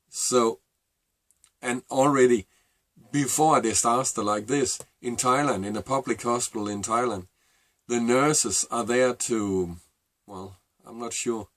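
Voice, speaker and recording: A man's voice: medium pitch, dry recording. Reflective Danish-accented man, dry sound. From Denmark. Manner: halting and reflective, with many fillers and restarts. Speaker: vlogger. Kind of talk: personal storytelling to camera.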